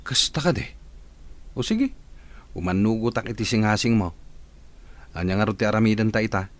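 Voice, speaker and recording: A man's voice: on the low side, animated, normal speed, natural voice. A man playing an inquisitive, skeptical household co-worker in an Ilocano radio comedy-drama. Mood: skeptical, curious.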